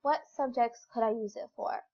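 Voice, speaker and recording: A woman's voice: fairly high pitch, normal speed, a little mellow, lo-fi sound. Congested young woman giving a presentation. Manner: informal, explanatory, with fillers. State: congested from a cold. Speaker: student presenter. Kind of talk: recorded slideshow presentation.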